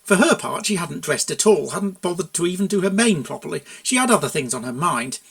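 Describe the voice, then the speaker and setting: A narrator reading a comic fan fiction story aloud in an expressive storybook style. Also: mid-range voice, a little fast, creaky.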